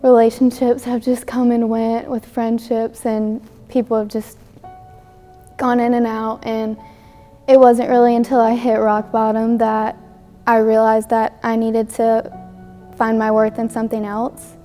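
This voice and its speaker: A woman's voice: on the high side, completely flat delivery, measured pace, dark tone, soft background music. A young woman from Louisiana, a college student, speaking sincerely and steadily about a hard time. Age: young adult. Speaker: student giving testimony. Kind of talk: personal testimony.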